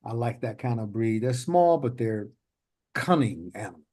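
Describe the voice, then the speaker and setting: A man's voice: mid-range voice, expressive, moderate pace, dark tone. A man hosting an interview podcast, chatting casually and wandering into long stories with frequent laughter. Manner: rambling, chatty storyteller who laughs often. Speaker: podcast host.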